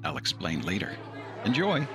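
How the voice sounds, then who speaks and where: A man narrating as host in a scripted storytelling style, with dramatic, deliberate phrasing. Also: low-pitched, wildly swooping pitch. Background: plucked guitar music.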